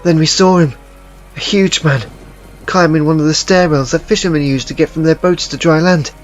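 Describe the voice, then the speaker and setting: A man voicing a panicked skinny young man who pleads in a high, frantic voice. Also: steady tone, moderate pace, muffled recording, soft background music, close to an everyday voice.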